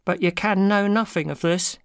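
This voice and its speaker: Audiobook drama: cringing little man female performer, quavery whine, smooth mid-range voice. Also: mid-range voice, normal speed, no room sound, clean studio sound, close to an everyday voice. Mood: frightened, suspicious. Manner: whining, quavering. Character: little rat-faced, cringing, nervous man.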